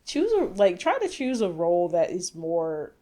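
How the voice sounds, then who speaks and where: A woman's voice: lowish voice, big pitch swings, normal speed, dark tone. A woman co-hosting a casual gaming podcast, explaining at length with plenty of fillers. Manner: chatty, filler-heavy, explaining. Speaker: podcast co-host. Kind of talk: casual chat.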